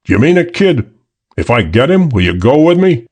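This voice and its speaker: A man's voice: medium pitch, sing-song, normal speed, a little mellow, slightly rough, pitched above the performer's own voice. A man voicing a dangerous red-haired young woman in a hardboiled story, airy and mocking. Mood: coaxing.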